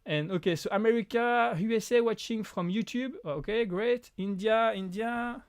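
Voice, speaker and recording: A fairly high-pitched young man speaks English with a French accent. He chats casually as he hosts a live stream, reading viewer names and countries aloud, often restarting his sentences and laughing. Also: normal speed, smooth. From France. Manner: reads out chat names, frequent restarts and laughs. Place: apartment.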